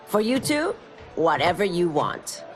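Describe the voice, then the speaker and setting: This is a motherly innkeeper and martial arts teacher who scolds with affection. Her voice sits lowish and moves expressively. Faint game music plays beneath. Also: very animated, unhurried, poor audio. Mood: stern. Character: motherly older woman innkeeper and martial arts teacher, stern but fond. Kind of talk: video game cutscene acting. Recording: game soundtrack music behind.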